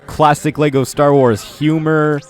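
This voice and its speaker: A man's voice: fairly high pitch, little pitch movement, measured pace. A man hosting a scripted countdown video, commenting in a mock-earnest way with exaggerated praise. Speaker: video host. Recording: music under the voice. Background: music.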